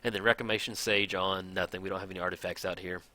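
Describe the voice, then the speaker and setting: Low, bright-voiced man commenting on a card game. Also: steady tone, fast talker. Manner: chatty, thinks aloud, counts out loud. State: slightly raspy from long recording. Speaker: gameplay commentator.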